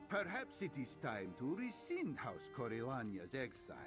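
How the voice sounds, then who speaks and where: A sly cat-man spy from a fantasy game. His delivery is theatrical and smooth, with pitch that swings very widely, and music plays behind him. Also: wildly swooping pitch, normal speed, fairly bright tone. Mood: suave, sly. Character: suave cat-man spy agent of a queen, speaks in third person. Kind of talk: scripted game dialogue.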